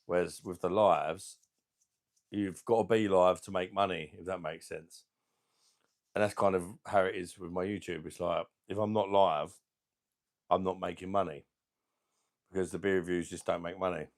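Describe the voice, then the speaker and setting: A middle-aged man with a deep voice and a sore throat, chatting casually as he hosts a beer channel livestream and reads out viewer comments in a rambling, laid-back way. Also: steady tone, moderate pace, long pauses. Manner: rambling, laid-back, chuckling between remarks. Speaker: beer review channel host.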